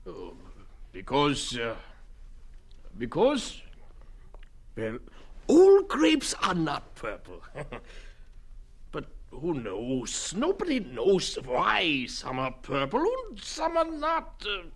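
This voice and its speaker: A performer playing a stern, gruff older male farmer with a German accent and a low, husky voice, grumbling and flustered in a radio play. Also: huge pitch swings, extremely slow, long pauses, exaggerated voice. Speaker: farmer Moses.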